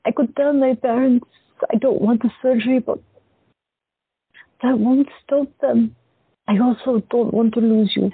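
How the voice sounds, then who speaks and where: Actress doing young seer, gentle, very breathy, dry close sound, phone-thin. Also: female voice, a little high, fairly even intonation, measured pace, pauses often, no echo, narrow, tinny audio, full character voice. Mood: earnest. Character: young woman patient with visions, gentle, calm. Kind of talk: audio drama acting.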